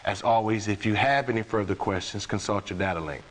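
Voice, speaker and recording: Male actor as mission commander; lowish voice, sober, formal military briefing for a game. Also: a little fast, slight background noise, natural voice. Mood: businesslike.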